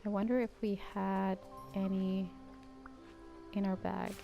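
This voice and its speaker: A woman's voice: mid-range voice, flat delivery, takes it slowly, gravelly, muffled recording, faint music behind. A young Danish woman, a native Danish speaker with an Americanized English accent, chatting casually as she comments on her gameplay in a rambling, Valley Girl YouTube tone. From Denmark. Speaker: gaming YouTuber.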